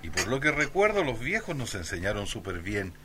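An actor playing an affectionate, teasing grown son with a Chilean accent, chatting casually in a radio drama. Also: male voice, on the low side, sing-song, fairly quick, sharp tone. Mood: fond, teasing. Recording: noisy ambience behind the voice.

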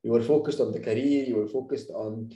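A man hosting an interview podcast, speaking conversationally with a halting, hesitant delivery. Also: medium pitch, fairly even intonation, dark tone. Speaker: podcast host. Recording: roomy, echoing sound.

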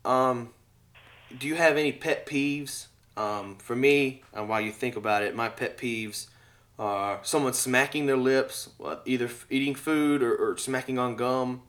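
Rambling host, mid-pitched man. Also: unhurried, a bit bright. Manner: rambling, chuckles between remarks. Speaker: podcast host. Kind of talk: casual interview chat.

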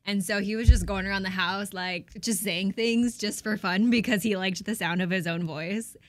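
Young wife guesting on stream, casual and laughing, dry room. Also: female voice, mid-range voice, moderate pace, fairly bright tone, no room sound. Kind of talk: casual chat.

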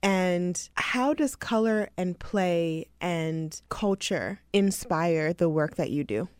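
A woman's voice: lowish voice, expressive, slow, darkish tone, smooth, no room sound, studio quality. A woman hosting a podcast, interviewing a guest in an encouraging, affirming way. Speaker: podcast host.

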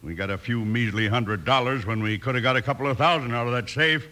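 An actor playing a tough, touchy outlaw gang leader, barking orders in an old-time radio western. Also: male voice, medium pitch, fast talker, sharp tone, natural voice. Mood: irritable, commanding.

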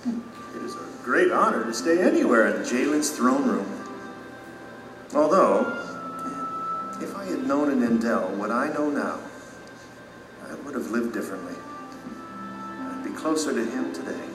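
Humble, regretful citizen: mid-pitched, expressive, earnest confession with thoughtful pauses, echoing hall setting. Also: male voice, recorded from a distance. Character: humble, remorseful male citizen, gently earnest. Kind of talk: audio drama acting. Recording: echoey hall, music behind.

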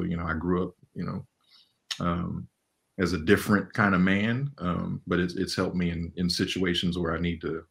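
A man in his forties with a very deep voice, talking about his life as a guest on an interview. He speaks in a loose, relaxed way, full of fillers, and often breaks into laughter. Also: creaky. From Los Angeles, California. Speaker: animation director, guest. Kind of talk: casual interview talk.

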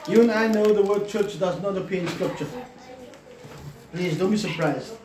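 A man who leads a church, giving an informal sermon in a deliberate way and repeating his phrases. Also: high voice, crowd noise. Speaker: church leader. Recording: echoey, distant, noisy room recording.

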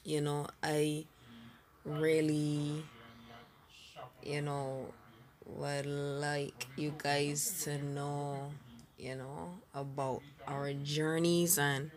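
A woman's voice: deep voice, flat delivery, extremely slow. A woman with a Barbadian accent, chatting hesitantly with giggles and fillers about her faith as co-host. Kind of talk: casual faith chat.

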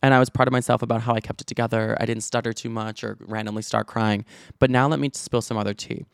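A man's voice: medium pitch, level tone, fast talker, creaky, no room sound, studio quality. A man who stutters, hosting a podcast and telling stories in a chatty, gossipy way. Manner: chatty, gossipy storytelling with many likes and restarts. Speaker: podcast host. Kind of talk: casual chat.

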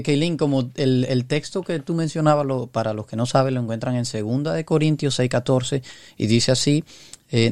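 A man's voice: mid-range voice, darkish tone, no room sound. Male co-host, earnest and teaching, recorded dry and close. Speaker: podcast co-host.

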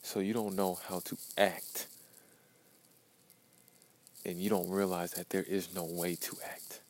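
A man's voice: low voice, darkish tone, slight background noise. A man in his early twenties talking to himself in a blunt, profane podcast monologue, repeating phrases for emphasis. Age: 20s. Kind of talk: self-talk pep monologue.

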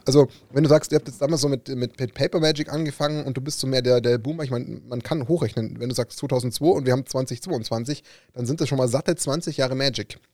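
A man's voice: medium pitch, fast, few pauses, darkish tone, dry recording, studio quality. A man hosting a podcast, asking long winding questions with fillers. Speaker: podcast host. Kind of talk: casual conversation.

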